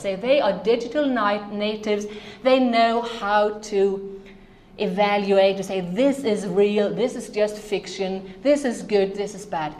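A woman's voice: mid-range voice, darkish tone, echoey room. A woman from Norway, a native Norwegian speaker, giving an earnest, emphatic conference talk on ministry to children.

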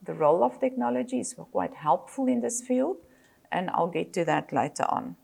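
An older South African woman, a native Afrikaans speaker, giving a measured lecture as a psychologist, with frequent fillers and self-corrections. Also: fairly low pitch, animated, gravelly, a little mellow, slightly rough. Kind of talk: presentation lecture.

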